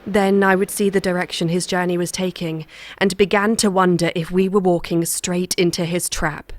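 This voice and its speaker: A woman's voice: on the low side, little pitch movement, moderate pace, no room sound, natural voice. A woman playing a haunted yet resolute young wife, composed and intense, in a horror audio drama. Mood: somber narration. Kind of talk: audio drama acting and narration. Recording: audible background noise.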